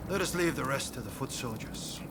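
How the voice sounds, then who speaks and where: A man playing a brash, smug military commander in a video game battle scene, loud and animated. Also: medium pitch, a little fast, lightly acted. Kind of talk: dramatic video game cutscene acting. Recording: loud artillery and battle noise.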